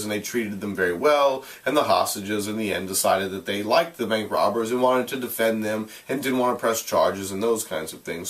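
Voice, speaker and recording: A man reading aloud like a teacher, in an expressive narrating style with deliberate, explanatory delivery. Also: on the low side, distant mic.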